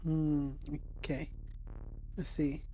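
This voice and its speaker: A man playing a tabletop game, discussing moves casually in a tentative, halting way. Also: a little high, level tone, dark tone, muffled recording, faint music behind.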